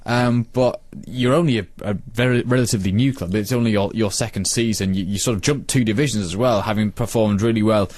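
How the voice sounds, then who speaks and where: A man's voice: lowish voice, brisk, hardly any pauses, a little mellow. A British man hosting a conversational sports radio interview, putting long, rambling questions.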